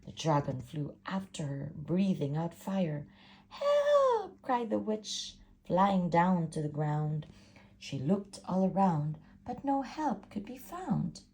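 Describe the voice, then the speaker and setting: A woman reading a rhyming picture book aloud with an expressive, rhythmic delivery. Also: on the low side, slow. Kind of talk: storybook read-aloud.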